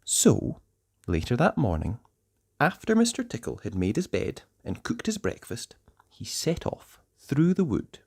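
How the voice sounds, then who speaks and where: A man narrating a children's storybook aloud in a playful way, with dramatic pauses and emphasis. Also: medium pitch, wildly swooping pitch, darkish tone, breathy edge, no echo, studio quality.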